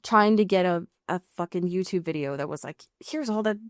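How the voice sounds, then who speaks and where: A woman's voice: on the low side, brisk, dry recording, clean studio sound. A woman co-hosting a podcast in casual chat, slangy and emphatic, with plenty of swearing. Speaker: podcast co-host.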